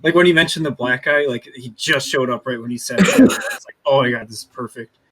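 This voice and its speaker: A man's voice: mid-range voice, a little fast, a bit bright, slightly rough, rough recording. A man co-hosting a podcast, talking in a casual, conversational style. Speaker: podcast co-host. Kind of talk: casual chat.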